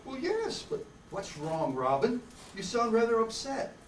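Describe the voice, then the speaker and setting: Woman as a lively mild-mannered male reporter, echoey. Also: a little high, a little slow. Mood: concerned. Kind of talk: amateur radio drama reenactment. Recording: distant echoey room, faint noise.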